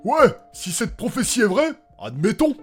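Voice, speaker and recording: A man's voice: very high voice, big pitch swings, moderate pace, fairly bright tone, faint music behind, heavily acted. A man playing a skeptical, reasoning adventurer in a French comedic fantasy audio drama.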